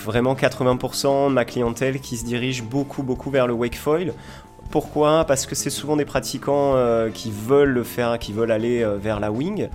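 A man from southern France giving an informal interview as a sports instructor, explaining with enthusiasm and frequent pauses. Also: mid-range voice, steady tone, a little mellow, faint music behind. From Montpellier, southern France. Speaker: foil school owner and instructor, interview guest.

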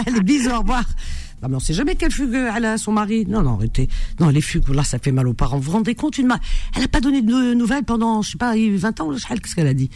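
An outspoken woman hosts a call-in radio show and speaks her mind freely. Her voice sits high and swings widely in pitch. She banters with callers in an easy, spontaneous way. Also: big pitch swings, quick pace, darkish tone.

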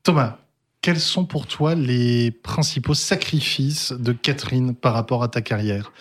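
Friendly French radio host, mid voice. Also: male voice, mid-range voice, a little slow. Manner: friendly, joking questions. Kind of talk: radio interview.

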